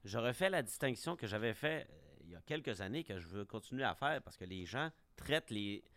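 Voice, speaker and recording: A man's voice: fairly low pitch, very animated, fairly quick, a bit bright, no echo. A man from Québec with a Québécois French accent, arguing his opinions emphatically on a radio talk show. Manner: emphatic, argumentative, repeats words.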